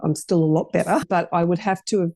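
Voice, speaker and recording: A woman's voice: lowish voice, fairly even intonation, quick pace, dark tone, dry recording, studio quality. A middle-aged woman, a podcast guest telling her story in an earnest, rambling way with many restarts. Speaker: guest, cafe owner. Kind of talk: casual interview chat.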